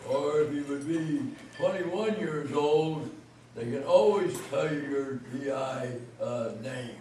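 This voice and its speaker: A man in his nineties talking at a dinner, halting, with many fillers and chuckles. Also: on the high side, darkish tone. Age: 90s. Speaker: veteran speaking at a dinner. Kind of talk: rambling after-dinner remarks. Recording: echoey hall from far off, noisy.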